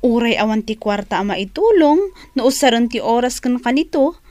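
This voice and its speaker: A woman's voice: on the high side, expressive, normal speed, darkish tone, no echo, natural voice. An actress playing an earnest, eager young woman in an Ilocano radio drama, speaking expressively. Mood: moved, glad. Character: young woman named Jenny, wistful, eager to help.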